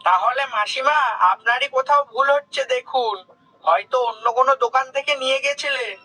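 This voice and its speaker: An upright village customer in a cartoon, voiced by a male actor. The voice is strikingly high and sharp. He speaks earnestly and with emphasis. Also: brisk, soft background music, heavily acted, poor audio. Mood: concerned, conciliatory. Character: plain-spoken, righteous male village customer. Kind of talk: cartoon character acting.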